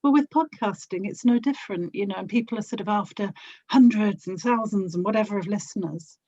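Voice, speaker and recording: A woman's voice: medium pitch, a little fast, warm tone, dry recording. A woman speaking as a podcast guest in casual, thoughtful conversation, on a dry and slightly muffled microphone. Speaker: podcast guest, audio drama actor and producer.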